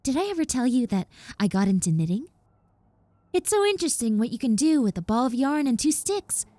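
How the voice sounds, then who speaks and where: Woman acting a hesitant, mellow young roommate with regrets. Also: high-pitched, big pitch swings, fairly quick, no room sound. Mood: gently eager. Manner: hesitant, stammering, self-conscious, rambling. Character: shy, rambling recovering yandere young woman, apologetic new roommate. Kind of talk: roleplay audio acting, intimate one-sided conversation.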